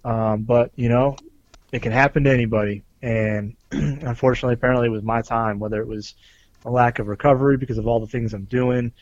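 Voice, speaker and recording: A man co-hosting a podcast who talks earnestly and explains things in detail, with many pauses and ums. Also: medium pitch, steady tone, a little mellow, dull audio. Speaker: podcast co-host, police officer. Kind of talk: casual chat.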